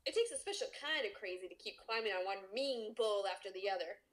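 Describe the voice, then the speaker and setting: A woman's voice: mid-range voice, lively intonation, quick pace. A woman podcast host reading a story aloud in a dramatic, playful way, with casual comic asides.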